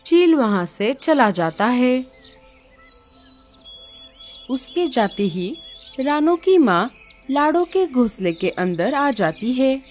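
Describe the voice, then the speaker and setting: A woman's voice: high voice, sing-song, slow, long pauses, darkish tone. A woman giving scripted narration for a cartoon story. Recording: music under the voice.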